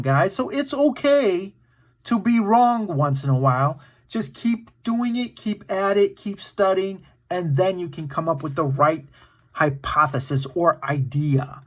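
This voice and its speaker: Animated man reading aloud for kids. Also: sits high. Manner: animated, encouraging, informal. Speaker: children's storytime reader and host. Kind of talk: read-aloud with casual asides to kids.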